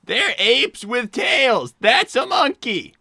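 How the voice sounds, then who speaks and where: A high, lively youngster voiced by a woman, brash and stubborn. The delivery is bright, casual and emphatic, in the style of a cartoon comedy roleplay. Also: slow, vocal fry, breathy edge, no echo, exaggerated voice. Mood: loud insistence. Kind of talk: improvised in-character livestream comedy roleplay.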